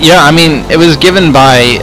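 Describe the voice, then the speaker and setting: Hesitant, higher-voiced man improvising roleplay. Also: music in the background, rough recording. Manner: hesitant, halting, repeats words. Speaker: host and player of an online roleplay series. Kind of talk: improvised in-character chat in a game roleplay.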